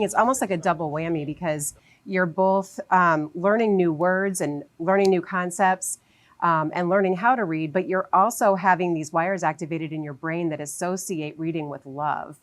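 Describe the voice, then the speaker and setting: A woman teacher explaining in a conversational interview style, giving everyday examples as she talks. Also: on the low side. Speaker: English teacher and literacy advocate being interviewed.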